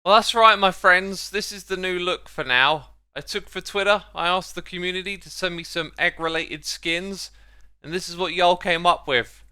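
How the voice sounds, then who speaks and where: A man with a slightly high, bright voice that is very clean and smooth, recorded dry and close. He chats casually as he hosts a gaming video, dropping in playful exclamations. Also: normal speed. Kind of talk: casual gameplay commentary.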